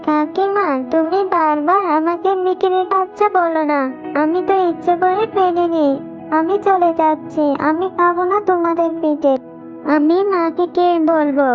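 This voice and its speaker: A sensitive little cartoon girl with a very high, childlike voice and a whining, pleading way of talking. The sound is slightly dull, with children's music behind. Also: fairly even intonation, moderate pace. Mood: hurt, indignant.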